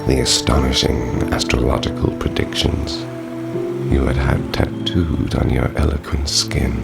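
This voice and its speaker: A man with a gravelly voice giving a slow, dramatic recital of poetry. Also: very deep voice, fairly even intonation, over loud music. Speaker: poetry reader.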